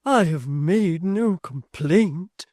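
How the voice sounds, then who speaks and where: A woman reading an audiobook, voicing a timid, tearful spinster whose speech is soft, faltering and pleading. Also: fairly low pitch, sing-song, slow, no room sound, clean studio sound, pitched above the performer's own voice. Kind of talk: audiobook character voice.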